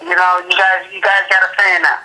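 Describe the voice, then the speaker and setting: Young boxer talking blunt, bright voice. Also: male voice, high voice, flat delivery, fairly quick, poor audio. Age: 20s. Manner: blunt, repetitive, many fillers. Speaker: boxer guest. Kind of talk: phone interview.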